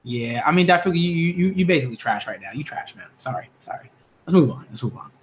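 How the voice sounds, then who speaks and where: A man's voice: fairly high pitch, animated, fast, slight background noise. A man hosting a manga reaction video, chatting casually with lots of fillers and self-corrections. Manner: stumbles when reading names aloud. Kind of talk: casual live reaction chat.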